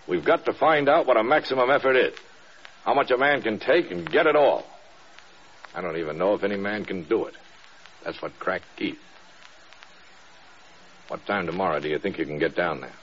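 An actor playing a grave, weary military commander, speaking earnestly and soberly in a radio drama. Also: male voice, on the low side, fairly bright tone, slight background noise, natural voice. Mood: grave, urgent. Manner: earnest, measured, sombre. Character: grave, weary senior male commander confiding in a subordinate. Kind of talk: mid-century radio drama acting. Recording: dull old radio recording.

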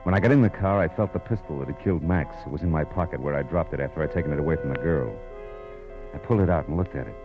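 A hard-boiled private eye with a very low voice. He is clipped, tough and world-weary, in the manner of 1940s radio noir. The old recording is muffled, with music in it. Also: very fast. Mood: tense, brooding. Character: hard-boiled male private detective, cool and commanding. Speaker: lead actor and narrator.

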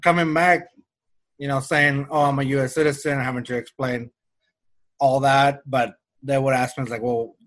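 Relaxed man with a slight Mexican Spanish accent. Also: medium pitch, moderate pace. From Mexico-US border region. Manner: rambling, frequent restarts and fillers. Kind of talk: casual conversation.

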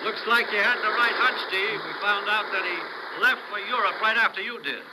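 An actor playing a concerned police commissioner on a long-distance call in an old radio drama. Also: male voice, high voice, a little fast, heavy background noise, lightly acted. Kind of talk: 1940s-50s radio drama acting.

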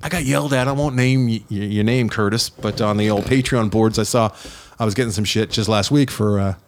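Fast-talking self-deprecating podcast guy. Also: on the low side, a little hiss or hum. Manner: rambling, self-deprecating, quick talker. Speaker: podcast co-host, film reviewer. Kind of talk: casual film podcast banter.

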